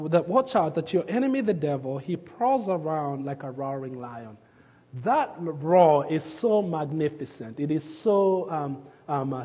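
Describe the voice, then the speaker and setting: A man from Kenya, preaching a sermon and telling a story with fillers and restarts, sometimes dropping his voice to a hush. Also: high-pitched, in a large hall. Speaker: pastor.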